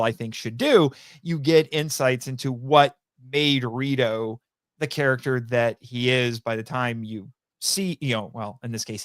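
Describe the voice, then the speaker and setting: A talkative, animated man chatting casually as a podcast guest and often amused. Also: mid-range voice, no room sound, studio quality. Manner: animated, long-winded, laughs often. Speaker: podcast guest, audio drama writer and voice actor. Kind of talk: casual interview chat.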